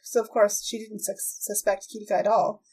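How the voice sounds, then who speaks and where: A woman hosting a podcast, chatting casually in a halting, giggly way as she recaps a show. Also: mid-range voice, little pitch movement, darkish tone, husky. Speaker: podcast host. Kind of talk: casual chat, recapping an anime.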